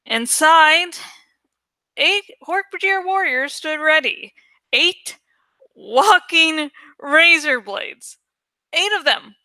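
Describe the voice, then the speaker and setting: A woman reading aloud as an amateur audiobook host, expressive and informal in her delivery. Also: high voice, slow, no room sound. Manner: informal, self-correcting. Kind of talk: expressive story narration with casual asides.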